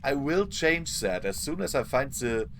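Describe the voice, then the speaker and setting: A man streaming a game live, hoarse and losing his voice, chatting apologetically to his viewers. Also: medium pitch, big pitch swings, unhurried, a bit bright, slight background noise. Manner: apologetic, chatty, talks to chat. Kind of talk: live gameplay commentary.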